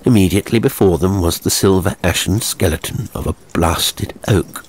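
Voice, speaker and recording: A man narrating a scripted horror story in a measured, theatrical way. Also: sits low, rough recording.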